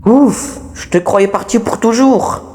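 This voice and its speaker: A man voicing an anxious, reluctant toy creature with a halting delivery, in an amateur horror game dub. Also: very high voice, darkish tone, slight background noise. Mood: shaken, guilty. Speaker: amateur voice dubber.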